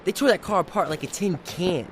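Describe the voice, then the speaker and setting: A man's voice: high-pitched, brisk, noisy background, close to an everyday voice. A man acting in an audio drama as an agitated, blunt young army specialist.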